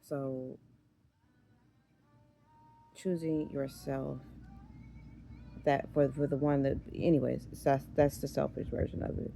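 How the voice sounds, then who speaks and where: A woman in her thirties with a hoarse, raspy voice, chatting casually in a vlog and often losing her train of thought. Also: a bass voice, little pitch movement, takes it slowly, pauses often, mellow, soft background music. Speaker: vlogger. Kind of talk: casual chat.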